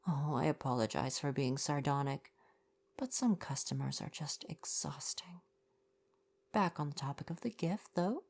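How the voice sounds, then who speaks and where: A woman playing a kind, polite young merfolk shopkeeper with gentle sarcasm, softly spoken in an ASMR roleplay. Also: deep voice, vocal fry, full character voice. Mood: wry, exasperated, then warm, encouraging. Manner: polite, chatty, helpful customer-service phrasing. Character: kind young merfolk gift-shop keeper, gently sardonic.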